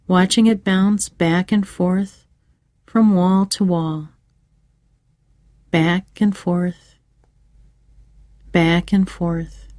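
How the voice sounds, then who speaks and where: Woman, mellow relaxed tone, unhurried soothing pauses, scripted children's meditation narrator, dry sound. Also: medium pitch, no room sound. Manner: slow, measured, soothing delivery with pauses.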